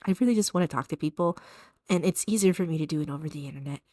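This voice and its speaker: A young woman talks casually over her drawing in a fairly monotone, low-set voice. She is soft-spoken and rambles, with many fillers and restarts. The sound is dry and close, with no room around it. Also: racing, no echo. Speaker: art vlogger. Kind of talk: casual chat while drawing.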